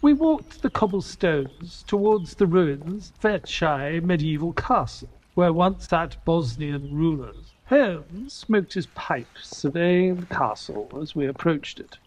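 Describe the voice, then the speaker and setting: Earnest detective's companion and narrator played by a male actor, high husky voice, audio-drama storytelling. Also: lively intonation, takes it slowly, few pauses, poor audio. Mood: dramatic narration.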